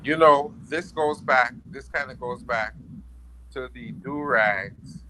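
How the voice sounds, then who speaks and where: A man's voice: medium pitch, slow pace, bright, forceful tone, slight background noise, rough recording. A man with a Trinidadian accent, arguing his point insistently in a casual panel debate and repeating himself for emphasis. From Brooklyn, United States. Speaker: podcast panelist.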